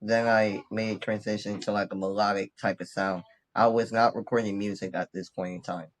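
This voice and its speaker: A man's voice: on the low side, flat delivery, brisk. A man of twenty from Connecticut, a rapper guest talking casually and laughing often. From Bridgeport, Connecticut. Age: 20s. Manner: laid-back, laughs often, slangy. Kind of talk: casual interview chat.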